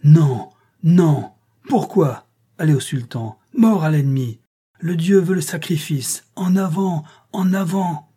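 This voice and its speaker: Man doing a hairy giant warrior, shrill howling war cries, higher voice, husky, storybook. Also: slow, dark tone. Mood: furious.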